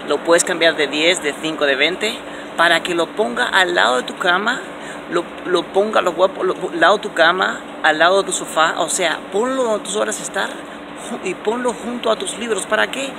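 Man in his 40s, self-help vlogger, gravelly mid-range voice, emphatic and repetitive, phone mic, station hum behind. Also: moderate pace. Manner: animated and repetitive, many restarts, stressing words with rising pitch. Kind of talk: casual motivational vlog. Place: indoor underground transit station, concrete walls. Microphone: phone camera held close at arm's length. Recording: noisy phone recording in a station.

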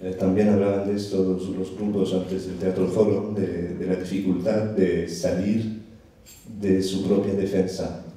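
Slow, halting man in an echoey theatre. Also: low-pitched, flat delivery, dark tone. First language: not Spanish. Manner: searching for words, many fillers, slow. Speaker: audience member. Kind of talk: hesitant open-forum remarks. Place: dark theatre auditorium, seated in audience. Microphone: handheld microphone. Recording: echoey hall, voice from far off.

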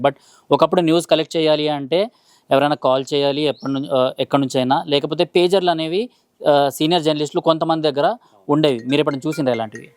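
Quick male interviewer, Telangana accent. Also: on the high side, a little mellow, no room sound. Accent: Telangana Telugu. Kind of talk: conversational interview questioning.

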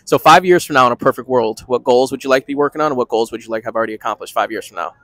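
A man in his thirties interviewing people on a city street with a handheld mic, quick-talking and repeating short affirmations. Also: mid-range voice, a bit bright, no room sound. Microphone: handheld microphone.

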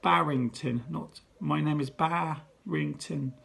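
A man voicing a proper, fussy male deputy head who speaks primly and softly. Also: on the high side, slow pace, creaky, heavily acted. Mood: flustered. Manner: prim, softly spoken.

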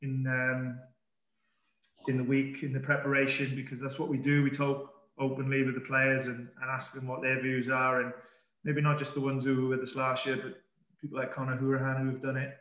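A middle-aged man, a football manager, answering press questions calmly over a video call, with many fillers and restarts. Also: mid-range voice, brisk, highs cut off. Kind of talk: post-match press conference. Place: indoor press room in front of a sponsor board. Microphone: webcam on a video call. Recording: echoey room.

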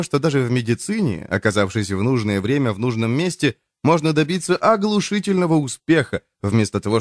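A male audiobook narrator with a mid-pitched voice reads nonfiction in measured phrases. His intonation moves widely and keeps the reading expressive. The sound is dry and close to the microphone. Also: no room sound.

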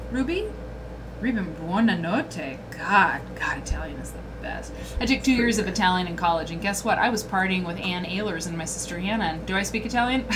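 A woman's voice: medium pitch, noisy background. Chatty woman hosting a livestream. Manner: chatty, reads viewer comments aloud. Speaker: host. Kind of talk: casual livestream chat.

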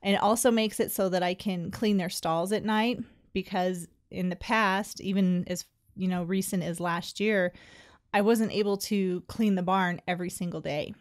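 A middle-aged woman narrating her own how-to video about her barn. She explains each step plainly and in a friendly way, at an everyday pitch. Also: fairly even intonation, moderate pace. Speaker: horse owner vlogger.

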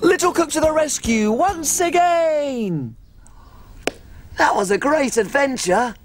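A tiny, cheerful little cook, as a performer puts it on, talks in a slow, sing-song way with big swoops in the voice. Faint music plays underneath. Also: sits high. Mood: storytelling. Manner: bouncy, excitable. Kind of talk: children's show acting.

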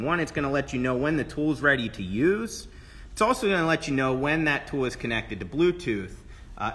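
A man's voice: mid-range voice, pushed and bright. A man in his thirties presenting a product live and explaining its features. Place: trade show booth in a large indoor hall. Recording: roomy echoing hall with faint noise.

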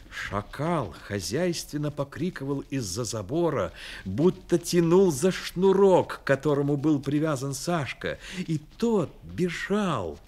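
A man narrating a Soviet radio play dramatically, with intense, measured storytelling. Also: a little high. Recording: old radio recording with noisy effects behind.

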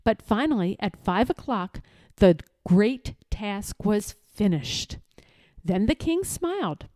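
A woman narrates a children's story with a very expressive, swooping delivery. Her tone is soft and mellow, and the recording is close and dry. She phrases deliberately, like a storyteller. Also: mid-range voice, no echo. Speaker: read-aloud storyteller.

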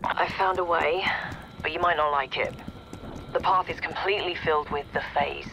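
A dry-witted game scientist. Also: male voice, a little high, steady tone, moderate pace, vocal fry, sharp tone, slight background noise, low-quality recording. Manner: dry, wry, explanatory. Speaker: scientist ally giving mission instructions. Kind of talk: scripted video game dialogue. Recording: game audio over music.

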